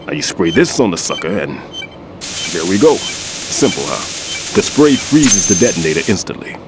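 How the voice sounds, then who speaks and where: An actor playing an older male bomb disposal expert, a patient mentor who explains things in a measured, instructive way in a game cutscene. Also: deep voice, very animated, noisy background. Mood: calm instructive. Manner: instructive, reflective. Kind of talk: video game cutscene dialogue. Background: music score.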